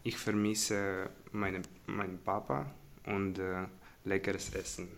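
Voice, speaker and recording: A man's voice: sits low, level tone, slow, gravelly, slight background noise. A seventeen-year-old boy with a Ukrainian accent gives brief interview answers. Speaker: interviewee, refugee student.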